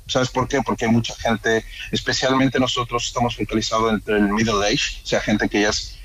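A man's voice: mid-range voice, normal speed, rough recording. A man in his thirties with a Madrid Spanish accent, a restaurant owner talking casually in an interview, rambling with restarts. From born in Ecuador, raised in Madrid. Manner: relaxed, rambling with restarts and "o sea".

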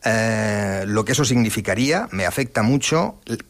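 A man's voice: fairly low pitch, lively intonation, normal speed. Reflective man giving conversational analysis. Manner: reflective, explanatory, often rephrasing himself. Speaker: correspondent on French news and sport.

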